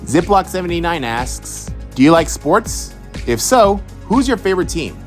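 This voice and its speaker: A man's voice: sits high, sing-song, normal speed, faint music behind. A young man from Houston answering follower questions about voice acting in a loose, rambling chat, full of fillers and restarts. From Houston, Texas. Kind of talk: casual Q&A monologue.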